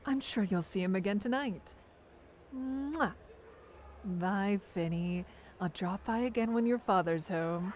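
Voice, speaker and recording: A woman's voice: mid-range voice, unhurried, darkish tone, a little hiss or hum, close to an everyday voice. A woman playing an affectionate, teasing adult family friend in an audio drama, chatty and breezy. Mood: doting, teasing.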